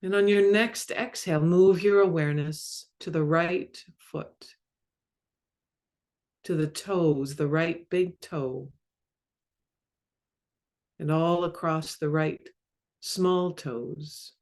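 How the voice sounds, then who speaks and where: Very slow-paced woman guiding meditation. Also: deep voice. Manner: calm, measured, with long pauses between short phrases. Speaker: mindfulness coach and meditation guide. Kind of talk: guided body scan meditation.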